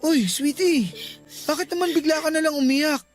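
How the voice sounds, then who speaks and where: A man's voice: very high voice, normal speed, soft background music. Actor playing an earnest, pleading young man. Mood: concerned, puzzled. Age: young adult. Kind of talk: Tagalog radio love drama acting.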